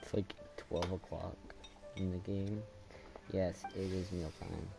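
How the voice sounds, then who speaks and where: A man's voice: deep voice, flat delivery, slow pace, creaky, over music. A man giving casual, rambling gameplay commentary as he plays, in an informal way. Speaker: gamer, let's player.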